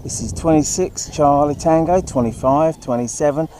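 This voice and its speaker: A man's voice: a little high, normal speed, warm tone, a little hiss or hum, low-quality recording. A man making a CB radio call, giving phonetic callsigns and repeating his phrases for clarity. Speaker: amateur CB radio operator on foot. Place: outdoors in a park. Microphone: handheld CB radio.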